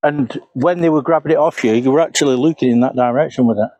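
Man in his fifties, mellow tone, dry lapel mic, outdoor woods. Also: mid-range voice, fast, no echo, dull audio. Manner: musing, retracing steps aloud. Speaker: paranormal investigator. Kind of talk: casual walk-and-talk vlog. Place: woodland outdoors. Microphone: clip-on lapel mic.

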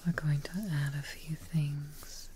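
A young woman playing a gentle, reassuring witch in an ASMR role play, speaking softly and breathily, close to the microphone. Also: low voice, flat delivery, a little hiss or hum. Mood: soothing, calm. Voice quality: soft, breathy, whispery. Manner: slow, reassuring, unhurried. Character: gentle, soothing witch tending a patient.

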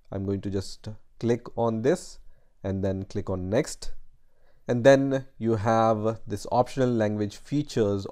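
A man narrating a step-by-step computer tutorial over a screen recording, explaining plainly with frequent pauses and "right?" checks. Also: on the low side, mellow. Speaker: tutorial host.

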